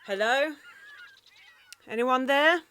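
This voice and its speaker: A woman's voice: high-pitched, takes it slowly, smooth, heavily acted. An actress playing an inquisitive, plain-spoken British woman exploring, in a naturalistic radio play. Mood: amazed. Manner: inquisitive, expressive. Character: curious, plain-spoken British woman inspecting a cluttered house.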